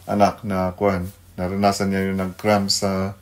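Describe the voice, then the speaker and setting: A middle-aged man with a Filipino accent giving an unscripted devotional radio talk, pausing to search for words and mixing two languages. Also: deep voice, completely flat delivery. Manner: halting, searching for words, mixes Tagalog and English. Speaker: radio devotional host, pastor.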